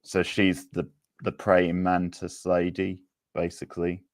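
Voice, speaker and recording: A man's voice: low voice, completely flat delivery, a little slow, no room sound, studio quality. A man hosting a casual quiz, chatting and answering participants as he reads out questions. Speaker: quiz host.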